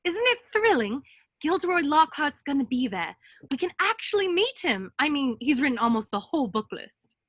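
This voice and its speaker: A woman plays a bookish young witch in a table read. She sounds high and bright with lively, swooping intonation, eager and excitable, recorded close and dry. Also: normal speed, no echo. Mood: eager excitement.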